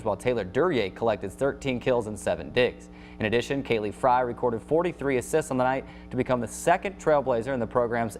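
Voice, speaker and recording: An American man hosting a sports show, reading a scripted recap of scores and highlights as an announcer.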